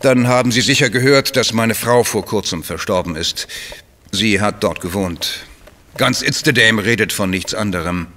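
A man's voice: fairly low pitch, normal speed, sharp tone. A man playing a suave, self-important crime writer in a German radio drama, eloquent and effusively polite. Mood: subdued. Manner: pompous, florid, eloquent.

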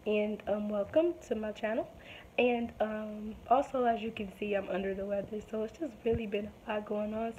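A woman's voice: medium pitch, dark tone, highs cut off, slight background noise. A young woman vlogging casually to camera at home, rambling with many ums while losing her voice from a sore throat. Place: small home room indoors. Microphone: phone or webcam at arm's length.

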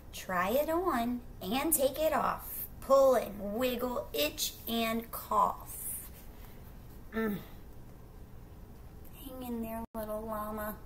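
A middle-aged woman reads to children in a bright, clear-edged voice. She takes her time, drawing out phrases and chatting casually to the listener. The home recording carries faint background noise. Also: fairly high pitch, low-quality recording. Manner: expressive, drawn-out rhyming phrases, questions to the listener. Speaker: teacher reading a picture book aloud. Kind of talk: children's read-aloud with casual asides to young listeners. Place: small indoor room at home.

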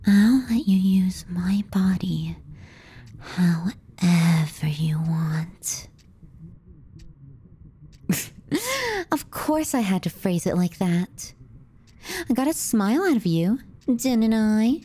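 A woman plays a teasing, clingy younger sister in an intimate ASMR roleplay. Her voice is dark and soft, close on the mic, with breathy dips toward a whisper. She takes her time, delivering sardonic jabs with a possessive edge. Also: medium pitch, expressive, slow pace, pauses often, exaggerated voice. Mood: coy, teasing.

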